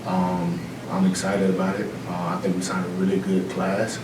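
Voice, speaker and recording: A low-voiced man from Mississippi telling a room about his years as a player and coach. He talks earnestly and rambles, with plenty of fillers. He sounds far from the mic, in a roomy space with clear background noise. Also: big pitch swings, normal speed. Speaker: football coach. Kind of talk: self-introduction to a room. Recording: roomy, distant, noisy room recording.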